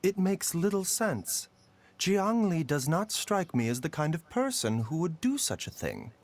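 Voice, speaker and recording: A man voicing a calm, learned physician in a video game, explaining things in a measured, gentle way. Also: fairly high pitch, dry recording.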